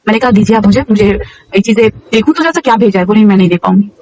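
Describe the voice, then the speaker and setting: A woman's voice: mid-range voice, fast talker, darkish tone, far from the mic, dull audio, slight background noise. A woman in a heated, informal phone conversation, speaking emphatically and repeating words insistently, on a call recording.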